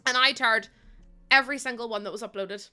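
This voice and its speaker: A woman's voice: on the high side, fairly quick, pushed and bright, smooth, soft background music. A young woman with a Northern Irish accent chatting casually while live-streaming, rambling and self-mocking with lots of fillers.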